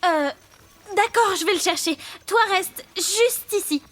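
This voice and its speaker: A bewildered female cartoon newcomer, played by a woman, has an extremely high voice. She sounds jittery and unsure, halting as she talks. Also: a bit bright, soft background music. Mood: hesitant, guilty. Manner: hesitant, uneasy, halting. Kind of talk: cartoon dubbing.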